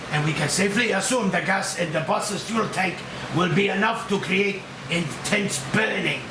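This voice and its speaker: A man playing a blunt, technical animal expert briefing a dangerous mission in a screenplay table read. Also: high-pitched. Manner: punctuates explanations with "Oi!". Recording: roomy, distant mic, clear background hiss.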